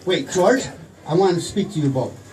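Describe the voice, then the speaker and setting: Breezy bragging young guy, lively highish voice, radio play, distant mic. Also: moderate pace. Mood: hearty. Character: breezy, boastful young man phoning long distance. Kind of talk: old-time radio play acting. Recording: distant stage mic, faint noise.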